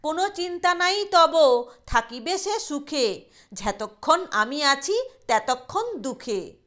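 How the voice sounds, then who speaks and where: Woman playing a coaxing, crafty village honey gatherer, high-voiced man. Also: sing-song, takes it slowly, a bit bright, exaggerated voice. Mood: reassuring. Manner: coaxing rural dialect talk. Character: crafty, falsely kind rustic male honey gatherer.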